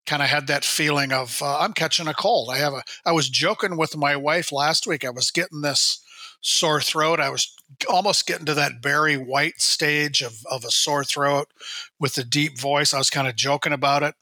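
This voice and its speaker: Hoarse, bright-voiced man in his sixties. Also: fairly high pitch, normal speed, dry recording. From Minnesota. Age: 60s. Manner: rambling, self-correcting storyteller. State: recovering from covid, hoarse. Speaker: show regular, state high school sports guide. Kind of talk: casual radio chat.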